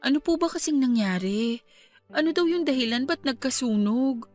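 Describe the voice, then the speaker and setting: Polite, bereaved young niece. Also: female voice, high voice, expressive, moderate pace, darkish tone. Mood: sad, worried. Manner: polite, deferential to her elder. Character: bereaved young niece, a student, respectful and caring. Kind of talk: Tagalog radio drama acting, naturalistic dialogue. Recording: music bed under the voice. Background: background music.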